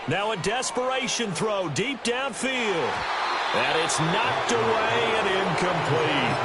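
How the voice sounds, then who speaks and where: A man calling play-by-play football in a brisk, crisp game-commentary style. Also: high voice, heavy background noise. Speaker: play-by-play announcer. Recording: studio voice with game music behind. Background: game music.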